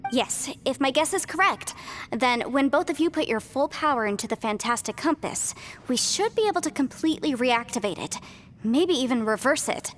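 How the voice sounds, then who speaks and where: A woman's voice: sits high, animated, normal speed, soft background music. A woman playing an earnest, determined young half-immortal heroine in a video game, explaining and encouraging. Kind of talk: video game story acting.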